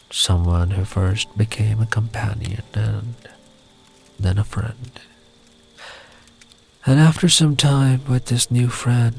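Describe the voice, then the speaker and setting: Male ASMR storyteller: slow, tender, breathy near-whisper, medium pitch, faint music underneath. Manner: soft-spoken, often breathy near-whisper; soothing, unhurried, tender. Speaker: ASMR voice performer telling a bedtime story.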